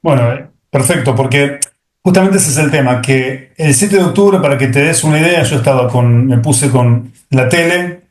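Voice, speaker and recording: A middle-aged man, speaking as an interview guest and pausing often with fillers and restarts. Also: medium pitch, echoey room. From Argentina. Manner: hesitant, many fillers and restarts. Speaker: guest, software executive. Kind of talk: interview conversation.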